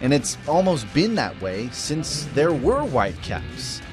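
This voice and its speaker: A man in his thirties narrates a local news feature in a lively, animated reporter voice that sits a little high. Faint guitar music runs beneath his voice-over. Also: a little slow. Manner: bright, lively reporter delivery.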